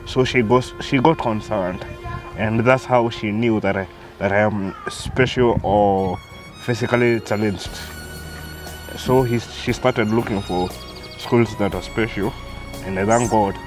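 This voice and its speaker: A man's voice: on the low side, steady tone, measured pace, music in the background. A man in his thirties with a Kenyan accent, a guest telling his life story, who hesitates and repeats words as he starts. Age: 30s. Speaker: interview guest.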